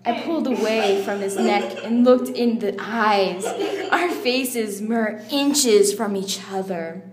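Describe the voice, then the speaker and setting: A woman's voice: mid-range voice, slow. Giggly young woman, echoey noisy room. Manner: playful, often breaking into giggles. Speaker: reader in a water-spitting challenge. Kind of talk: giggly dramatic reading of romantic fiction.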